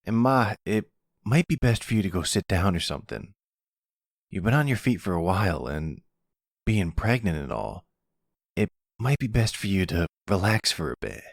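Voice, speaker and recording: A man's voice: deep voice, sing-song, moderate pace, creaky, no echo, clean studio sound, heavily acted. A man playing an earnest, cheeky young son in an ASMR roleplay, talking casually and protectively. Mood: caring.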